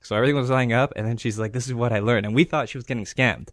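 A man's voice: medium pitch, rapid-fire, dry recording, studio quality. A man, telling stories casually as a podcast guest. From Canada and Greece. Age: 30s. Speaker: podcast guest, real estate investor. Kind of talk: casual conversational storytelling.

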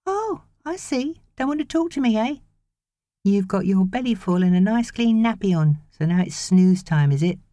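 A woman's voice: mid-range voice, animated, normal speed, dark tone, dry recording, pitched above the performer's own voice, natural voice. A woman voicing a chatty, caring teenage girl babysitter who gets a little exasperated, in an audiobook story.

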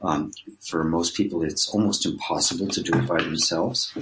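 A man's voice: sits low, fairly even intonation, normal speed, fairly bright tone, poor audio. A mature man speaking as an interview guest, explaining his personal-growth teaching in a reflective, conversational way with frequent restarts.

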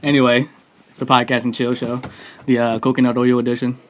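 A man's voice: mid-range voice, level tone, brisk, crowd noise. Laid-back man hosting a podcast. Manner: laid-back, joking, lots of fillers. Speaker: podcast host. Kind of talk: casual podcast chat.

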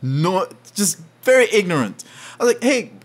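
Podcast guest, man from Saint Kitts, lively storyteller who acts out quotes. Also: sits high, normal speed. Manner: animated, laughs often, acts out quotes. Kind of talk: storytelling in casual conversation.

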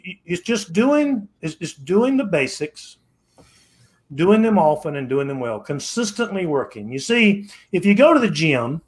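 A man in his sixties, a podcast guest, giving motivational advice in a preachy, repetitive way and often restarting his phrases. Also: on the high side. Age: 60s. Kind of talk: motivational interview talk.

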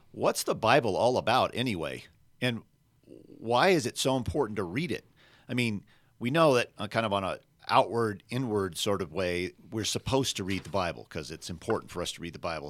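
A man hosting a podcast, his voice scratchy and gravelly from being sick, talking in a rambling, friendly way with many restarts. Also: lowish voice, fairly bright tone, no echo. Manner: rambling, self-correcting, friendly. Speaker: podcast host.

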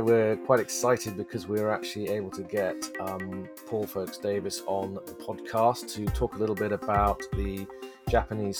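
A man hosting a podcast interview, his voice hoarse from hay fever, talking casually with many ums and restarts. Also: lowish voice. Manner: halting, many fillers. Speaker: podcast host.

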